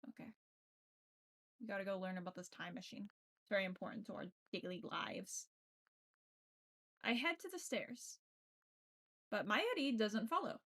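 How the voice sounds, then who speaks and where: A woman's voice: mid-range voice, animated, normal speed, long pauses, a bit bright. A woman streaming a game, reading its text aloud and adding casual, playful, sweary commentary.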